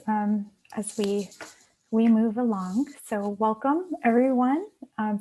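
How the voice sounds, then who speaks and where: Woman from Hawaii, mid-pitched, hosting a video-call panel, friendly and filler-heavy. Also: a little mellow, rough recording. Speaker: international programs manager hosting a panel. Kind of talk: online event hosting.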